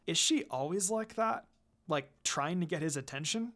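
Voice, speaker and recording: A woman voicing a calm, composed young female ninja with a teasing streak in a fan fiction reading. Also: lowish voice, moderate pace, close to an everyday voice. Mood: guarded. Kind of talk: fan fiction character dialogue read aloud.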